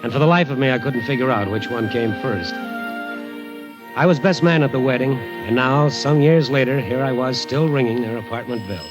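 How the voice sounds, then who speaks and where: An actor playing a loyal, wisecracking friend who also narrates, in a 1940s radio drama. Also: male voice, mid-range voice, dull audio, music in the background, close to an everyday voice. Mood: reflective. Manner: brisk, jovial. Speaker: narrator and character.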